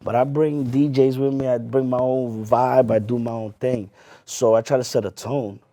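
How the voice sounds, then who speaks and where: A man in a casual interview telling his story as a club hype man, animated and slangy, often repeating phrases. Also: medium pitch, normal speed. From US, Lawrence Massachusetts. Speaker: guest, hype man and promoter.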